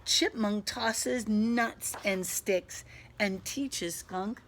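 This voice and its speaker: A woman teacher reading a picture book aloud to young children, animated, pausing to explain things simply. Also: mid-range voice, a little hiss or hum. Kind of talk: expressive picture-book read-aloud with asides.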